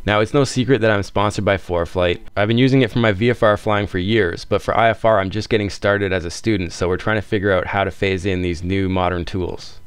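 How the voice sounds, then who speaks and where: A man's voice: on the low side, steady tone, fairly quick, hardly any pauses, no room sound. A man with a Canadian accent reading a scripted voice-over as a video host. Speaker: video host, student pilot. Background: clear background music.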